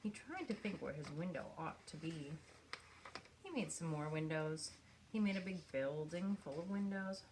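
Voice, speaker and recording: A woman reading a children's picture book aloud in an expressive storytelling manner, with pauses for effect. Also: low-pitched, slight background noise. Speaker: storybook reader.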